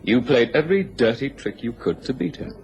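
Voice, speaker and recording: A male actor voices a mocking upper-class businessman in a radio drama. His middle-pitched voice rises and dips animatedly as he goads, every phrase sardonic. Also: lively intonation, fairly quick, crowd noise, lightly acted. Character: sneering, taunting upper-class English businessman, a bitter rival.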